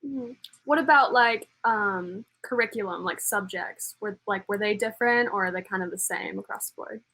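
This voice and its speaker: A woman's voice: medium pitch, moderate pace, pushed and bright, poor audio. A young woman hosting a student show, asking casual, rambling interview questions.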